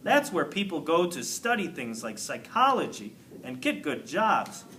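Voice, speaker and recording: Man playing a good-natured janitor, bright and theatrical. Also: on the high side, big pitch swings, measured pace, slight background noise, heavily acted. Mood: musing. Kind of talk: storybook character reading.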